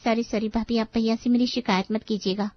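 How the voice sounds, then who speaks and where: A woman's voice: fairly high pitch, fairly even intonation, a little fast, no room sound, highs cut off, natural voice. A woman voicing a dutiful, respectful housemaid in a storybook tale, meek and deferential. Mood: apologetic.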